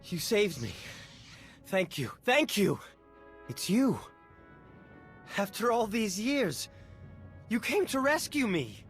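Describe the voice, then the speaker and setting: A man playing a vain, excitable engineer in a sci-fi game, wordy and theatrical. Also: high voice, slow pace, pauses often, soft background music, heavily acted. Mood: relieved, amazed. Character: vain, excitable male exotechnology engineer, relieved and self-important.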